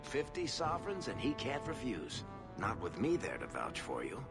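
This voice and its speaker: An actor playing a wry, smooth-talking dwarf rogue and dealmaker in a video game, persuasive and glib. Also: male voice, medium pitch, huge pitch swings, normal speed. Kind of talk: video game cutscene dialogue. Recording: music behind the voice. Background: background music.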